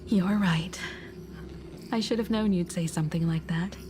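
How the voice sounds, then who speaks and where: A woman playing a caring, composed crewmate in a video game, speaking gently and with concern. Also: fairly low pitch, normal speed, slight background noise, lightly acted. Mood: tender concern. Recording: loud music under the voice.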